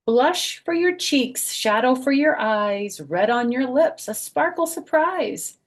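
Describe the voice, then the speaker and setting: Older woman, expressive storytime reader. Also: high-pitched, slow pace. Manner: expressive, sing-song reading with soft, gentle asides. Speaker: storyteller, children's author and former schoolteacher. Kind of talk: children's read-aloud storytime with chatty asides.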